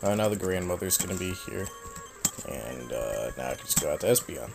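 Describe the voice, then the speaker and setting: Young male let's-player, lowish voice a little raspy from a cold, offhand rambling commentary, faint game music behind. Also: lively intonation, brisk. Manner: rambling, offhand, self-mocking. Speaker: let's play host. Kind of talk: casual gameplay commentary.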